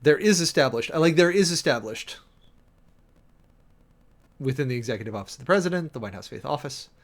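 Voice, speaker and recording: A man livestreaming a dramatic reading with close commentary, theatrical and emphatic, stretching words out and breaking into wry asides. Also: mid-range voice. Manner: theatrical, emphatic, with drawn-out words and sudden swells. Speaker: livestream host, preacher.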